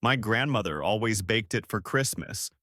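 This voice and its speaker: A man's voice: on the low side, lively intonation, normal speed, bright, forceful tone, no echo, studio quality. A man voicing a proud young student who shows off his family dessert.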